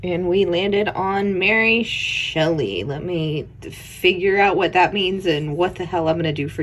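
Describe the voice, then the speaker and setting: A young woman with a hoarse voice, recovering from an illness, chatting casually in a reading wrap-up vlog and counting dice rolls aloud at home at night. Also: lowish voice. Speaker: book vlogger.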